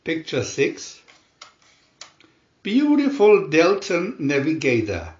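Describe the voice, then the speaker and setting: Male narrator, slow and dramatic, lively swooping pitch, for picture-story booklet readings. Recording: dull, echoey room recording.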